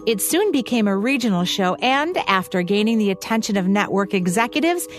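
A woman's voice: medium pitch, animated, a little fast, faint music behind. A woman co-hosting a radio history podcast, reading scripted narration in a polished, presentational way. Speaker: podcast co-host.

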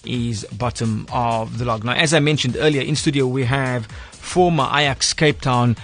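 A man's voice: mid-range voice, normal speed, fairly bright tone, soft background music. A man with a South African accent hosting a football radio show, reading results and interviewing in a studio. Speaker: sports radio host.

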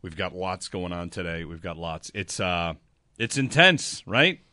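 A man hosting sports talk radio, chatting and joking in an energetic way from a studio. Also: low-pitched, unhurried, no room sound. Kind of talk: casual chat.

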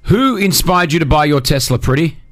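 A man's voice: on the high side, lively intonation, a little fast, fairly bright tone, no room sound. A man hosting a radio show, bantering playfully and teasing his co-hosts. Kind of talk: casual banter.

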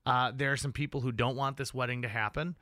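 Bright-voiced male radio host, enthusiastic. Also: medium pitch, fairly even intonation, quick pace, no room sound, clean studio sound. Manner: enthusiastic, emphatic. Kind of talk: upbeat radio interview chat. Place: radio studio. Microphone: close studio microphone.

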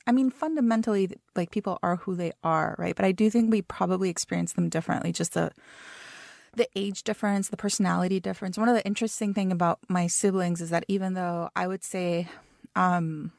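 A woman in her thirties, a native Spanish speaker from Costa Rica whose accent is nearly American. Her voice sits low, with a gravelly fry. She is a podcast guest who answers thoughtfully, with fillers and easy laughs, recorded dry and close. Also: steady tone, brisk, a little mellow, dry recording. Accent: near-American, slight Spanish. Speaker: podcast guest, startup founder. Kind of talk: casual interview chat.

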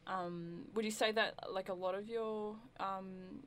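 A woman's voice: mid-range voice, fairly even intonation, a little slow, slight background noise. Rambling young-sounding woman. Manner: rambling, says like a lot. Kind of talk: casual radio chat.

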